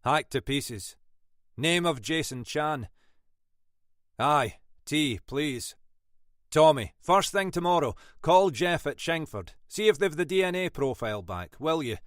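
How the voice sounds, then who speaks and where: A man voicing a shrewd older Scottish detective inspector with a dry, brisk, wry manner. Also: fairly high pitch, animated, no room sound, voice pushed up. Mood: urgent.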